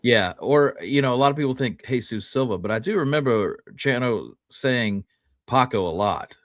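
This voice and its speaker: A man's voice: mid-range voice, animated, normal speed, no room sound, clean studio sound. A man co-hosting a history talk show, chatting casually and fielding listener questions. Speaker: co-host reading listener questions. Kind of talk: casual chat.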